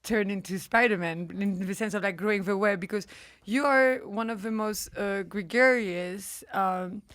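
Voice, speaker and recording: A woman's voice: mid-range voice, normal speed, a bit bright, no room sound. A young woman hosting a podcast interview, asking long, meandering questions full of "like". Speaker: podcast host. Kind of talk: casual interview.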